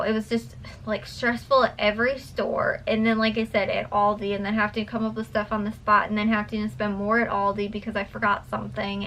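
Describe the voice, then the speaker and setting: A woman's voice: medium pitch, a bit bright, a little hiss or hum, poor audio. A tired young woman vlogger chatting casually to her viewers at home at night, rambling with lots of fillers and restarts, her voice a little worn. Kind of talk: casual chat to camera.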